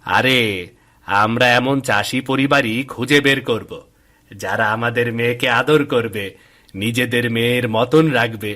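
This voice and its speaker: A patient village father in a cartoon. He is middle-aged and indulgent, speaking slowly in a medium-pitched voice with measured, drawn-out phrasing. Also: sharp tone. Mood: thoughtful, reassuring. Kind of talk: animated cartoon voice acting.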